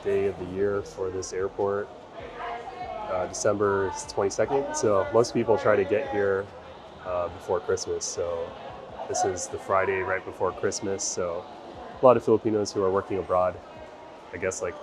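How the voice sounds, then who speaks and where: A man talking casually as a travel vlogger, relaxed and chatty with frequent fillers. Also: deep voice, normal speed, over music. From United States. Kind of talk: casual travel vlog narration.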